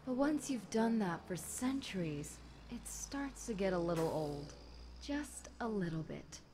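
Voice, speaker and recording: A woman's voice: mid-range voice, lively intonation, measured pace, darkish tone, natural voice. A woman voicing a bored, chatty demon girl in an audio roleplay, casual and teasing, talking straight to the listener. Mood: relaxed, friendly. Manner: casual, teasing, conversational with light chuckles. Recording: clear background noise.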